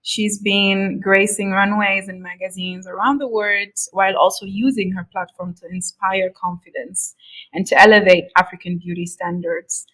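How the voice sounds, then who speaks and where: A woman hosts a podcast with an upbeat, eager manner. Her voice sits in a mid range, and she moves easily from a scripted welcome into relaxed conversation. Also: medium pitch, moderate pace, rough recording. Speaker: podcast host. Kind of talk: scripted intro, then casual chat.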